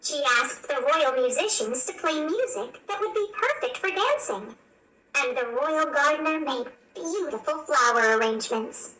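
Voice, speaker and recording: A woman reading a children's storybook aloud in an expressive, animated storytelling manner. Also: high-pitched, unhurried. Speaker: storybook narrator. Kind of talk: scripted children's read-along narration. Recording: roomy, distant, faint background noise.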